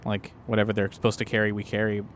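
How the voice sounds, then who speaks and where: A man's voice: lowish voice, completely flat delivery, fast talker, a little hiss or hum. A man bantering casually as a tabletop game player, informal and given to repeating himself.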